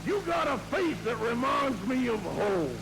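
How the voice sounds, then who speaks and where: An actor playing a brash, lonely cowboy in a 1940s radio comedy sketch, hollering in a drawl. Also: male voice, very high voice, sing-song, unhurried, background noise, heavily acted. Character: brash lonely male cowboy from cattle country.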